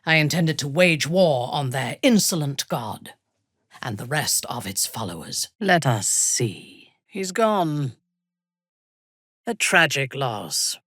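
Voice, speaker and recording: An actress playing a haughty, ruthless female dark elf warlord in a video game, imperious and formal, with a breathy, husky voice. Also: a bass voice, very animated, no room sound, clean studio sound, exaggerated voice. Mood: cold menace. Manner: imperious, formal, deliberate, coldly menacing. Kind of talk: video game character dialogue.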